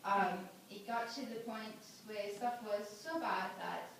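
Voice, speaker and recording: A woman's voice: mid-range voice, a little slow, a bit bright. Young woman in a very echoey hall. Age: 20s. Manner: earnest, plain-spoken, with many fillers and repeats. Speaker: speaker sharing her story. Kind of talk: personal testimony to an audience. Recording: very echoey hall, mic far off, background noise.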